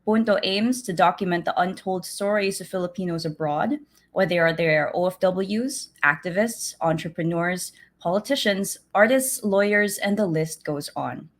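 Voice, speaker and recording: A woman's voice: on the low side, normal speed. A woman hosting an interview, introducing her guest and asking questions in a friendly, loose way. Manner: friendly, asks questions in loose chatty runs. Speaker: interview host and reporter. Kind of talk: hosting a news outlet interview.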